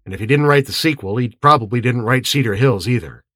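A man's voice: mid-range voice, quick pace, pushed and bright, no room sound, clean studio sound. A man voicing an angry, insistent male accuser in an audiobook story. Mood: accusing.

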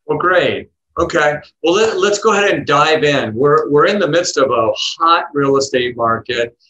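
Bright-voiced male podcast host. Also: a little high, lively intonation, normal speed, rough recording. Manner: upbeat, rises when asking questions. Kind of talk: interview hosting.

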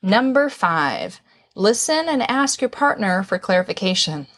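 A woman's voice: medium pitch, sing-song, a little slow, a bit bright. A woman co-hosting a relationship advice talk with her husband, chatty and playful, using lots of fillers. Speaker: podcast co-host. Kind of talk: casual conversational advice.

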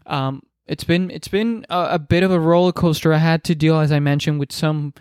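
A man's voice: on the high side, fairly even intonation, a little fast, darkish tone, clean tone, no echo, studio quality. A man in his twenties whose first language is Spanish, hosting a solo podcast in earnest, reflective talk with many restarts and fillers. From Dominican Republic. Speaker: podcast host.

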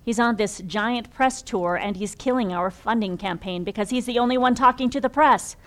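A woman acting in an audio drama as a brisk, exacting strategist in charge, direct and businesslike. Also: a little high, natural voice. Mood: brisk, concerned.

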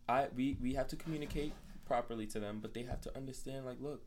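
A man's voice: medium pitch, fast talker, a little mellow. A man in his twenties sharing opinions casually in a group discussion, with background noise in the recording. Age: 20s. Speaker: discussion panelist.

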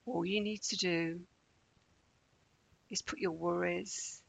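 A woman leading a children's guided relaxation in a gentle, soothing, measured manner with deliberate pauses. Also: on the low side, fairly even intonation, vocal fry, breathy edge. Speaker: meditation guide.

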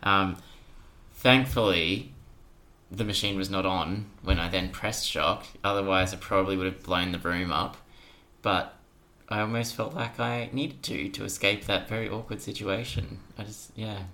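A young man chats as a podcast guest. His voice is deep yet bright and sharp in tone. He tells anecdotes in a loose, informal way. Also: steady tone, normal speed. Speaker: podcast guest, junior doctor. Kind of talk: casual anecdotal storytelling.

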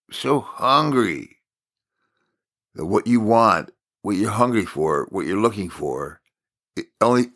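A low-pitched American man with a gravelly edge to his voice preaches informally. He speaks very slowly, in deliberate broken phrases, and repeats words for emphasis as he tells stories. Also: a bit bright. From Minnesota, United States. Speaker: Bible teacher, preacher. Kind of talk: informal sermon with storytelling.

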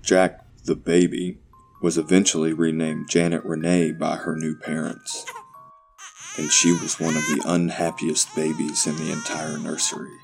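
Very deep male horror narrator over music. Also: monotone, measured pace, creaky. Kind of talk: scripted horror story narration.

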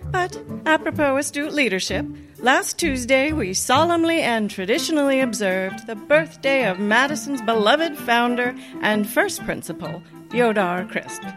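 A woman playing a sardonic high school English teacher with dry, sarcastic delivery in a radio comedy. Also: expressive, unhurried, over music. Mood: wry narration.

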